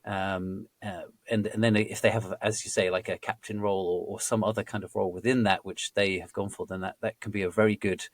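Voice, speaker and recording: A man hosting a podcast interview, speaking haltingly with many restarts. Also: on the low side, flat delivery, no room sound. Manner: hesitant, many restarts and fillers. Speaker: podcast host, recruiter.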